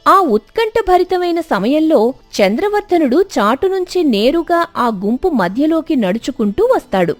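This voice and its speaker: A woman narrating a scripted moral story dramatically, with expressive, emphatic delivery. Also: high voice, moderate pace, no room sound, soft background music.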